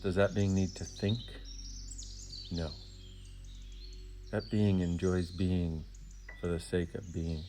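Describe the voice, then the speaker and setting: A man leading a guided meditation in a soothing, deliberate way, with short phrases and long pauses. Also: sits low, little pitch movement, mellow, soft background music.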